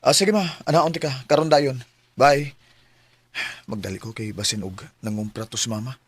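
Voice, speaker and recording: A man's voice: medium pitch, moderate pace, husky, no echo, lightly acted. A man acting an aggrieved, earnest son in a Filipino radio drama, with a Cebuano accent and melodramatic delivery. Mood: hurried. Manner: emotional, melodramatic. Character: hot-tempered adult son in love, aggrieved, earnest.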